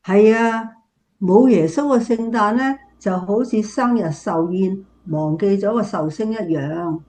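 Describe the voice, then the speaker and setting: A woman playing an affectionate, reflective grandmother in a Cantonese radio drama, speaking gently. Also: medium pitch, measured pace, a little hiss or hum, natural voice.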